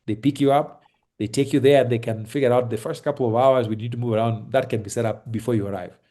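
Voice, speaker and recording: A Kenyan man with a Kenyan accent giving relaxed, reassuring advice on a video call, with lots of fillers and restarts. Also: medium pitch, quick pace. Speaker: host advising on moving to Kenya. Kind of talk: casual advice chat on a call. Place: home in Kenya.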